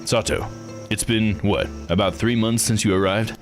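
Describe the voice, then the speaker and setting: A man voicing a blunt, plain-spoken man from a visual novel game, gruff and probing. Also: on the low side, steady tone, moderate pace, music in the background, close to an everyday voice.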